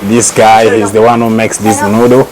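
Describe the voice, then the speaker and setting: A man's voice: fairly low pitch, flat delivery, normal speed. A man from Texas chatting casually in a travel vlog, often repeating words and restarting his sentences. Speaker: travel vlogger. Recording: noisy street with passing vehicles.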